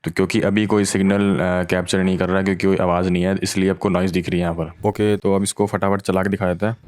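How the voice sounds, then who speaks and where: A man's voice: low-pitched, level tone, fast, a little mellow, dry recording. A man explaining technology in a casual, informal tutorial style, mixing in English technical terms. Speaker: tech tutorial host.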